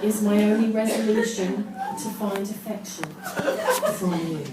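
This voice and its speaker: A woman gives a self-mocking, deadpan comic reading of teenage writing to a live audience. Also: medium pitch, unhurried. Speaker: amateur performer reading her teenage diary on stage. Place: indoor live show in London, audience laughing behind. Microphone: distant phone camera in the audience. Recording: echoey, noisy live venue recorded from far off.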